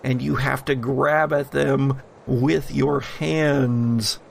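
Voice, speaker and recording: A man with a creaky, gravelly voice narrates a tabletop horror game as game master. He takes his time, setting out the scene in short, deliberate phrases. Some noise sits behind him. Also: medium pitch, steady tone.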